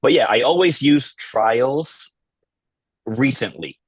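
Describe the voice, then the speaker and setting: A man raised in the Philippines, a guest chatting casually in an interview, halting with many fillers and restarts. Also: mid-range voice, lively intonation, husky, dry recording. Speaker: podcast guest, content creator.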